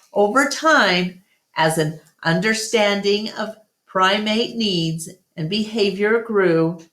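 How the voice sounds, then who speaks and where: A woman reading a storybook aloud as narrator, in a measured and expressive way. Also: on the low side. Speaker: storytime reader. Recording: echoey room.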